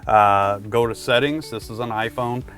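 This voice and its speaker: A man's voice: medium pitch, moderate pace, a bit bright, soft background music. A man presenting product tips in a casual, conversational instructional style, talking directly to the viewer.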